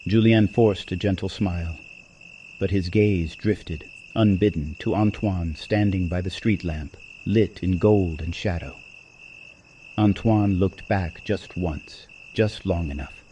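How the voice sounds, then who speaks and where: A man narrating a scripted dramatic story in a measured, hushed, solemn manner. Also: low-pitched, slight background noise.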